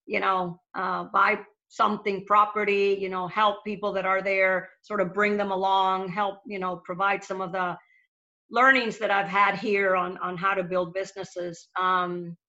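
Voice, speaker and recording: A woman's voice: medium pitch, flat delivery, a little slow, pushed and bright. A middle-aged woman from Venezuela, speaking earnestly as a panel guest and repeating words as she starts sentences. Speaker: guest, lender to small businesses. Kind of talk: panel discussion. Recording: dull lo-fi sound.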